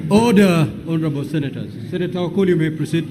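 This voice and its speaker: A man's voice: on the high side, fast talker. A man presiding over a chamber with brief, formal procedural calls. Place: parliamentary chamber. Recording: clear background noise, live chamber.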